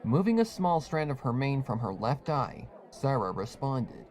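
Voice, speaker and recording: A man's voice: mid-range voice, very animated, unhurried, darkish tone, a faint crowd. A man reading scripted story narration as the narrator of a fan fiction.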